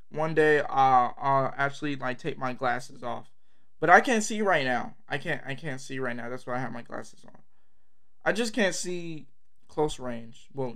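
A man's voice: medium pitch, fairly bright tone, clear, resonant tone. A young man hosting a reaction video, talking to camera in a rambling, mumbling way with many fillers. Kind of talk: casual direct-to-camera talk.

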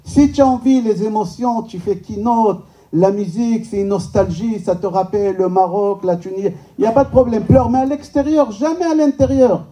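High, piercingly bright male rabbi, distant mic. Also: normal speed. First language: Hebrew. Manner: rhetorical questions, emphatic repetition, joking. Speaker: rabbi giving a lecture. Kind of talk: informal religious lecture to a live audience. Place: medium-sized indoor synagogue function room.

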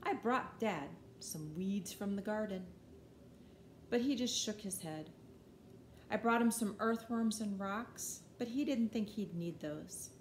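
Woman, middle-aged, children's story reading, dramatic emphasis, medium pitch, roomy home recording. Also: takes it slowly, slight background noise. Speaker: storybook reader. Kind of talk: expressive children's picture book read-aloud. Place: living room, indoors.

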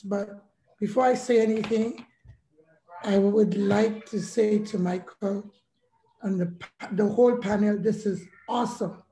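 Slow-talking hoarse woman on a roomy call. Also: medium pitch, mellow. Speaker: participant giving a testimonial on a group call. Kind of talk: casual spoken testimonial. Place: online video call. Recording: roomy echo, faint noise, online call.

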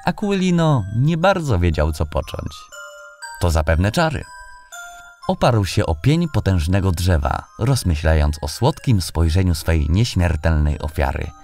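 A man reading a scripted fairy tale as an audiobook narrator, in an expressive storytelling manner. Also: low voice, a little slow. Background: background music.

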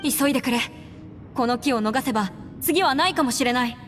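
Earnest, high-voiced young anime heroine. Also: female voice, a little fast, a bit bright. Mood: pleading, firm. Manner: articulate, earnest, slightly formal. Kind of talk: Japanese anime game voice acting. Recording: long reverb, music behind.

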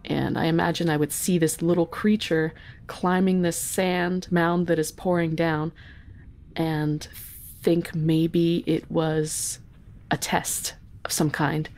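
A woman's voice: low-pitched, level tone, takes it slowly, darkish tone. A woman casually improvising her moves as a guest player in a tabletop game, thinking aloud. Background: music.

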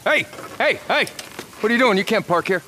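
An actor playing an officious gate security guard in a video game, speaking in a curt, bossy way. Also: male voice, high-pitched, lively intonation, brisk, bright, forceful tone, a little hiss or hum. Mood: hostile.

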